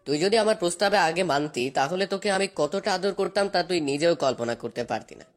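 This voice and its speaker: A man's voice: high-pitched, lively intonation, fast talker, faint music behind. Male actor as cruel young husband, possessive, commanding, taunting, very varied intonation, audio romance drama. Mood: bitter, threatening. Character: menacing, obsessive young husband, domineering and cruel.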